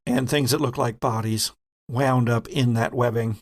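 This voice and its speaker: A man acting as game master, narrating a tabletop horror roleplaying game in a halting, deliberate way with vivid detail. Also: medium pitch, steady tone.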